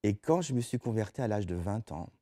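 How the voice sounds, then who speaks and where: Middle-aged man, low relaxed voice, pastor in interview, halting phrasing with repeated words, dry studio sound. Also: no room sound. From Belgium. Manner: hesitant, repeats words while explaining. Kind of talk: conversational testimony in an interview. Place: TV studio set.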